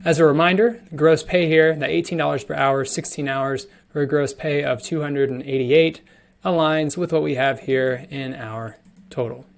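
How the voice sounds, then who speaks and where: A man giving a conversational, explanatory walkthrough of software, as a product demonstrator would, stressing key words as he goes. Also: on the high side, steady tone, normal speed, creaky. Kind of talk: screen-share software demo, explanatory walkthrough.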